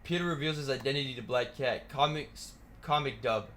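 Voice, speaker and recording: A man's voice: mid-range voice, fairly quick, a bit bright, a little hiss or hum. A man giving casual reaction commentary as a video host, talking in loose slang with some swearing.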